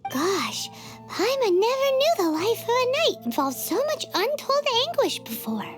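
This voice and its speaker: A tiny floating fairy girl for a video game, extremely high and squeaky. The delivery swings wildly up and down, cheeky and exclamatory, with game music playing behind. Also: very animated, measured pace, heavily acted. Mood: earnest. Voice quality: squeaky, childlike. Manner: speaks of self in third person, exclamatory. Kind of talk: video game character acting.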